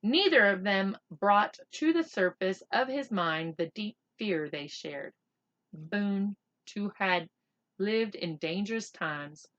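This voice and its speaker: A woman reading a children's book aloud as an expressive narrator. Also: mid-range voice, slow pace, lo-fi sound.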